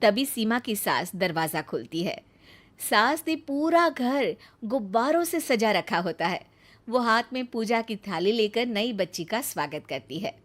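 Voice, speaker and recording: A woman's voice: on the high side, big pitch swings, unhurried. A woman narrating a scripted family story as a storyteller.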